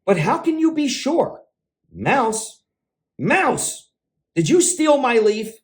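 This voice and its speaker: A man voicing an anxious, excitable squirrel in a children's storybook, fretful and given to dramatic exclamations. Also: extremely high pitch, slow, full character voice. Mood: suspicious. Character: anxious, excitable squirrel fretting over stolen leaves. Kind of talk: children's picture book read-aloud.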